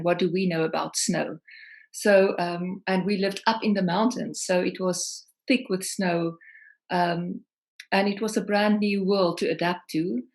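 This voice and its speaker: A middle-aged South African woman, a singer-songwriter, talking reflectively over a video call with many ums. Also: lowish voice. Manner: warm, reflective, frequent ums.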